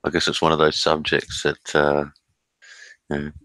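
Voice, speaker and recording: A man hosting a casual live stream chat, relaxed and bantering. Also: a bass voice, moderate pace, dry recording, rough recording.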